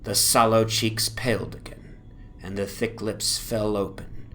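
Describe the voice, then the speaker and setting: A man giving a dramatic, grave and formal narration of a gothic horror story in measured phrases. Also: low-pitched, a bit bright, slight background noise.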